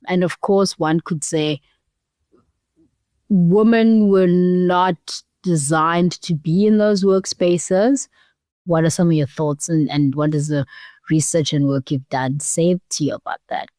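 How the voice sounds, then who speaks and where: Interviewer: woman, lower-than-average pitch, conversational and reflective, close dry studio-like sound. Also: darkish tone, dry recording. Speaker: interviewer, foundation chief executive.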